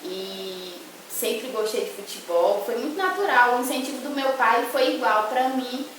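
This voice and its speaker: A woman's voice: fairly high pitch, measured pace, fairly bright tone. A young woman with a northeastern Brazilian accent giving conversational interview answers as a guest, often tagging phrases with a short checking question. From Ceará, northeastern Brazil. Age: young adult. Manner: frequently tags phrases with a checking "right?". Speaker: interview guest, sports commentator and journalism student. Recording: very echoey room, distant mic, faint hiss.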